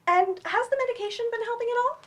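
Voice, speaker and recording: A woman reading the part of a patient, earnest therapist in a staged play, reassuring and gently firm. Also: extremely high pitch. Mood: concerned, reassuring. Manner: reassuring, measured. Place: library event room, staged reading.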